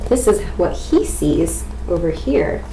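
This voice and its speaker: An American woman in her twenties giving an expressive, explanatory lesson presentation as a student teacher. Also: fairly low pitch, slow pace. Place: small indoor room at home. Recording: echoey room with faint background noise.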